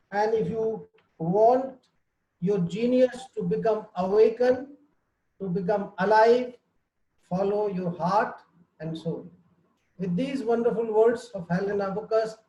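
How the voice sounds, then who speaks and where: Slow, high-voiced man hosting a webinar. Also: dark tone. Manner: emphatic, repeats key phrases. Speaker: webinar host introducing a guest. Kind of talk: formal spoken introduction. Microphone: video call. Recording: echoey, dull lo-fi video-call audio.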